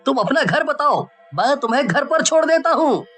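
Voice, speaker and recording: Casting: woman to play earnest college boy in a horror story, high and lively, inquisitive. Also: normal speed, soft background music. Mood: curious. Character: young male college student, friendly, curious.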